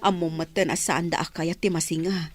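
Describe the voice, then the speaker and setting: A woman's voice: fairly low pitch, steady tone, brisk, close to an everyday voice. A woman with an Ilocano Filipino accent playing a patient middle-aged wife and mother in a radio drama. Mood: resigned, explaining.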